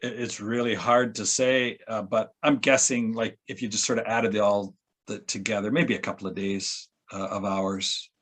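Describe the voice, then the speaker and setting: A man's voice: lowish voice, steady tone, fairly bright tone, breathy edge. Hesitant man in casual discussion. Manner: hesitant, halting. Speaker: radio play producer and sound editor.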